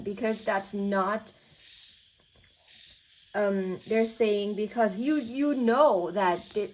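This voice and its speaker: A woman whose first language is Thai, giving a tarot card reading in a soothing, searching way with many pauses and restarts. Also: mid-range voice, poor audio. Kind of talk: spiritual pick-a-card reading.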